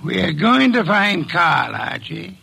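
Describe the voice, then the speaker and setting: Smug old-time radio detective, gravelly and bright, slightly higher voice, talks real precise. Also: male voice, sing-song, slow pace, exaggerated voice. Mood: measured. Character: shrewd, pompous middle-aged male detective. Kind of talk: 1940s radio acting.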